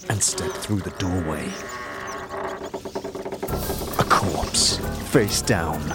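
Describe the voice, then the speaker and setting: A male narrator with a lowish voice tells a dark fantasy story. His delivery is scripted and dramatic, with music clearly playing behind him.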